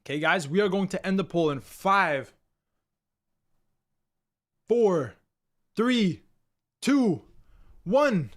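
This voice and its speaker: A man's voice: sits high, lively intonation, slow pace, long pauses. A young man from Canada hosting a live stream, chatting casually and energetically with his viewers in slangy, repetitive talk. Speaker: livestream host.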